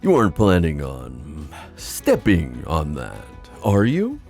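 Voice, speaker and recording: A deep-voiced, gravelly god of the sea, acted by a man for a fantasy ASMR roleplay. He is playful and scheming, toying with his listener in a theatrical, teasing way. His unhurried lines swing dramatically up and down. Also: wildly swooping pitch, a little mellow, faint music behind, full character voice. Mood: teasing, mischievous. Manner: theatrical, teasing, drawn-out musing with chuckles.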